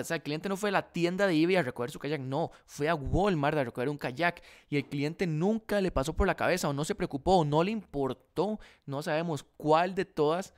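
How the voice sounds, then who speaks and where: A young man with a Costa Rican accent telling a story to camera in a casual vlog, animated and acting out both sides of the dialogue, close to a desk microphone in a room by a window. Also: fairly high pitch. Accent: Costa Rican Spanish. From Costa Rica. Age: young adult. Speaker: dropshipping vlogger. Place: indoor room by a large window. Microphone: desk condenser mic in front of face.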